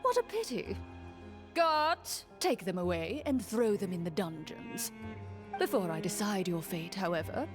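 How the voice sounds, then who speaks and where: A woman's voice: medium pitch, very animated, measured pace, over music. A woman voicing a smug, scheming male prime minister with a pompous, condescending manner.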